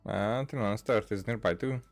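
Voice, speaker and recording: Low-voiced man giving casual game commentary. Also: normal speed, gravelly, music in the background. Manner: hesitant, rambling. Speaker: streamer playing a game.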